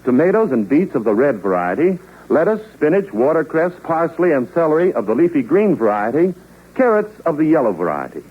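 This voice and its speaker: A man reading a commercial as an announcer on a 1940s radio show, smooth and persuasive. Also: fairly high pitch, very animated, a little fast. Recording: tinny old radio broadcast.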